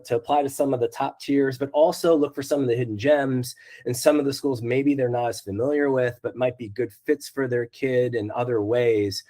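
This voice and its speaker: A slightly hoarse man talks things through as a school counselor on a panel. His pitch sits in an ordinary middle range. He is earnest, repeats words as he restarts his sentences, and laughs softly now and then. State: hoarse from a cold. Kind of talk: panel discussion.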